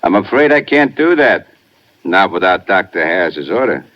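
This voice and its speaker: A man playing a big, calmly menacing sanitarium orderly in a 1950s radio drama, patronizing and understated. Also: low-pitched, moderate pace. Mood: placid menace. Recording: muffled vintage 1950s radio recording.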